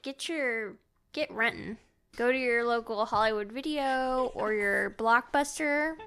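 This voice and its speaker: A woman co-hosting a podcast in casual book chat, with a gushing, excitable manner. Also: fairly high pitch, measured pace, clean tone. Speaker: podcast co-host.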